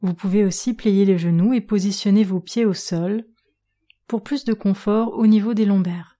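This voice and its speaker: A woman yoga nidra instructor guiding a relaxation meditation in a calm, measured manner with long pauses. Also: mid-range voice. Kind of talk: guided relaxation meditation narration.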